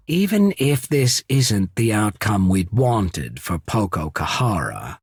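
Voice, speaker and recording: An actor voicing a courtly, shrewd diplomat of a trading company in a video game, speaking in a polite, measured, formal way. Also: male voice, medium pitch, husky, no room sound, studio quality, full character voice. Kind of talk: video game character acting.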